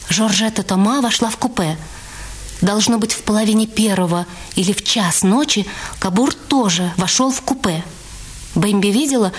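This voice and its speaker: A woman's voice: medium pitch, husky. A woman reading scripted literary narration for a dramatised radio production. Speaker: narrator and reader in a radio play. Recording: music under the voice. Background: music.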